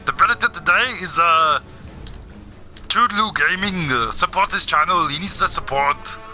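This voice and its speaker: A very bright, piercing male voice, pitched on the high side. He commentates on a video game in a blustering, boastful way, shouting taunts, with some music behind him. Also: moderate pace. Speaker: gaming video host. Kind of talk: excitable gameplay commentary in character.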